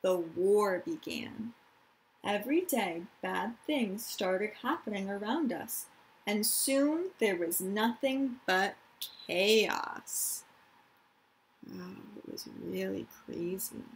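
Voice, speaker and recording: A woman's voice: mid-range voice, lively intonation, slow pace, slightly rough, poor audio. A woman, a teacher of young children reading aloud and explaining things simply, stressing key words. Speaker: elementary school teacher.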